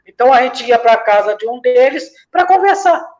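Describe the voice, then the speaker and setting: A man's voice: very high voice, animated, moderate pace, a little mellow. A man from from that region, a lay missionary reflecting in a church discussion, building his points from parallel examples and often hesitating. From Minas Gerais, Brazil. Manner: speaks in repeated parallel examples, many hesitations. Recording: dull lo-fi sound.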